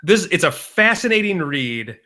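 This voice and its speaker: Young guy cohosting a podcast, deadpan jokey rambling, kinda bright sharp tone. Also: on the high side, normal speed. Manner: deadpan joking, rambling with restarts. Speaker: podcast co-host. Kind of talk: casual podcast banter.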